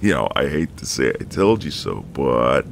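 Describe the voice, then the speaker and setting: A man playing a flirtatious, easygoing retired marine neighbour in an intimate audio roleplay, with a deep, gravelly voice and a casual drawl. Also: sing-song, moderate pace, slight background noise, heavily acted. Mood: teasing, flirtatious.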